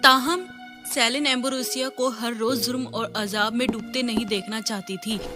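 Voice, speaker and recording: High-voiced woman narrating a fairy tale. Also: steady tone, moderate pace, music in the background. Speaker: storyteller.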